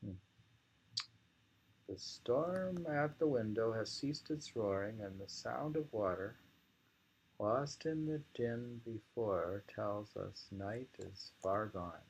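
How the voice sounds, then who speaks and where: A man reading poems aloud in a slow, halting, musing way, repeating lines and adding informal, rambling commentary, on a dull lo-fi recording. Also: lowish voice, slight background noise. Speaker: reader and commentator of poetry.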